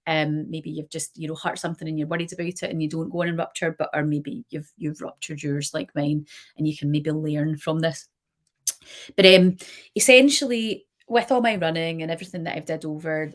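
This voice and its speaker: Low-voiced woman in her forties. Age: 40s. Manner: chatty, many fillers and restarts. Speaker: podiatrist and clinic director. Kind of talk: personal video diary to camera.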